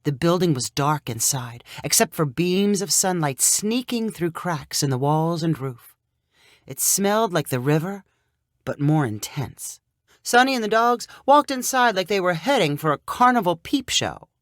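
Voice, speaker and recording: A woman narrating a scripted short story in an expressive, clearly paced storyteller's manner. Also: fairly low pitch, a little slow, no echo, clean studio sound.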